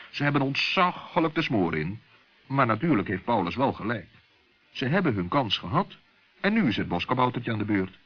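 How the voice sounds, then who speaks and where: Man, storyteller, animated children's narration, slightly rough husky tone, dull old recording. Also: lowish voice. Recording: muffled old radio play recording.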